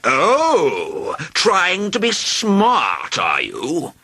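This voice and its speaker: A man's voice: mid-range voice, very animated, unhurried, pushed and bright, slightly rough, exaggerated voice. A man voicing a vain, rude and cowardly huge male dragon in a storybook, blustering and melodramatic. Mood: testy. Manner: blustering and melodramatic, wailing when wounded. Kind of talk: storybook reading.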